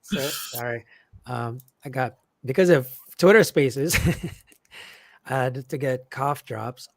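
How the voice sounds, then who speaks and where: A man with a hoarse, worn-out voice hosting a casual live Q&A, rambling with lots of fillers and restarts as he answers comments. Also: medium pitch, slow pace, no room sound. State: hoarse, losing his voice. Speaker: event organizer hosting a live update. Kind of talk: casual livestream Q&A.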